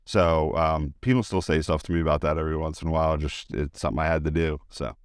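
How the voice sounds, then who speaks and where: A man's voice: a bass voice, fairly even intonation, fast, clear, resonant tone, no room sound, clean studio sound. A man giving a casual, chatty interview, telling anecdotes in an informal, enthusiastic way. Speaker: interview guest, high school teacher and sports announcer.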